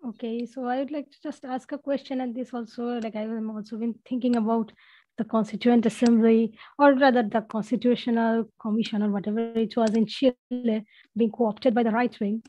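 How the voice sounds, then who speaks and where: A woman's voice: on the high side, steady tone, a little fast, mellow, muffled recording. A young woman on a headset in a video call, putting questions as a panelist in a political discussion.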